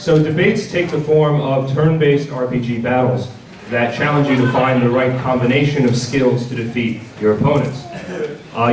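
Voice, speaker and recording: A man giving an informal presentation at a convention panel, speaking in a halting, stop-start way and repeating words. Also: mid-range voice. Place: large indoor convention panel room. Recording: echoey hall, distant mic, audience noise.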